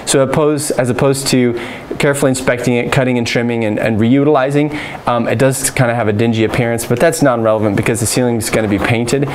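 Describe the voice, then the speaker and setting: Casting: American man, 30s, mid-pitched, practical electrician explaining a job informally, basement ambience noise behind him. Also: fairly even intonation, fast. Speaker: electrician presenting a how-to tutorial. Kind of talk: informal hands-on instruction. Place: unfinished basement with exposed joists. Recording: clearly noisy room ambience.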